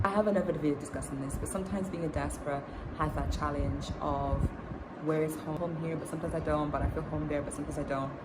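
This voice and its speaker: A young woman from Tanzania chatting casually in a storytime vlog, with lots of fillers and restarts. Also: sits low. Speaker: vlogger. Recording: loud background noise.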